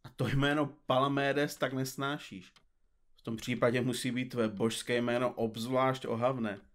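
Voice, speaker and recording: A man's voice: medium pitch, fairly even intonation, close to an everyday voice. An actor playing a playful, wry male detective sidekick in an audio drama, with a theatrical way of talking. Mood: teasing.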